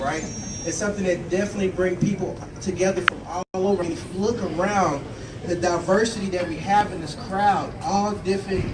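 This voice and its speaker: A man from Oklahoma giving a loud, rambling testimony to a crowd, constantly calling out to them. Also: sits high, very noisy. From Oklahoma, USA. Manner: loud, rambling, keeps saying "guys". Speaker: event speaker giving a testimony. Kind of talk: upbeat sales testimony on stage. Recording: echoey hall, distant mic, loud background.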